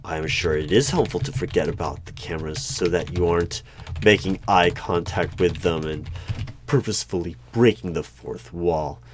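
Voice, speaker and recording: A man playing an eerie, probing hiring manager in a one-sided ASMR interview roleplay, speaking deliberately with pauses between questions. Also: low voice. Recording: background noise with keyboard typing and clicks. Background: computer keyboard typing.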